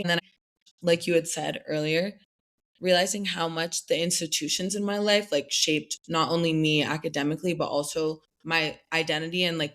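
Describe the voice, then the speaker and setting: A young woman from the United States answers interview questions about her life. She speaks in a somewhat low voice. She talks reflectively and fills her pauses with "like.". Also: fairly bright tone. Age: young adult. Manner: thoughtful, many "like" fillers, light laughs.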